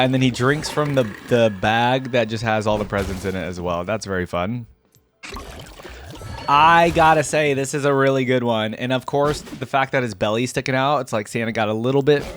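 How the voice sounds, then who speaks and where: A man giving casual reaction commentary in a conversational way, thinking aloud as he goes. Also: mid-range voice. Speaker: gaming video creator, reactor. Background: music.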